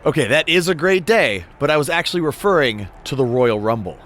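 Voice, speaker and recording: A man's voice: on the high side, expressive, a little fast, fairly bright tone. A man playing an affable, easily exasperated veteran wrestler bantering as a show co-host in a game cutscene.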